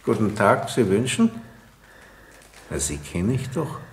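An actor playing an evasive, self-important schoolteacher in a radio drama, hesitant and pompous by turns. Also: male voice, on the low side, very animated, slow, a little hiss or hum, exaggerated voice. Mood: polite, flustered. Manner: hesitant then pompous, emphatic. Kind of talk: 1960s German radio drama acting.

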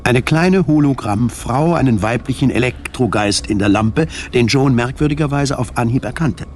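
A male narrator reads scripted prose for a radio drama. His delivery is measured and literary at a middle pitch, with faint noise in the background. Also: darkish tone.

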